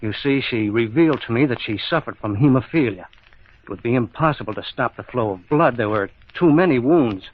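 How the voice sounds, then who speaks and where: A man plays a murderous husband in an old-style radio mystery. He is formal and suavely polite, with cold menace under the courtesy. His voice sits at a middling pitch on a dull, lo-fi recording. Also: expressive, brisk, close to an everyday voice. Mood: smooth, feigned composure. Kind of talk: vintage radio mystery acting.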